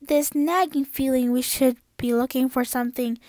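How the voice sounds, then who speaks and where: A woman's voice: sits high, fairly even intonation, normal speed, darkish tone, no room sound, studio quality, natural voice. A young woman voicing an earnest, anxious young female cartoon pony in an urgent, emphatic way. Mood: brisk, earnest. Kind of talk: amateur cartoon voice impression.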